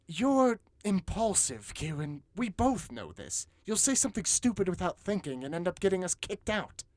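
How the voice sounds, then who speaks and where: A man's voice: sits high, big pitch swings, normal speed, darkish tone, no room sound. An actor playing a blunt, exasperated but caring young male adventurer in an audiobook. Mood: grudging, blunt. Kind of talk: audiobook character acting.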